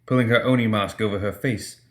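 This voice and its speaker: Guy narrating an audiobook, dramatic fiction, lowish voice. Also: steady tone, a little fast.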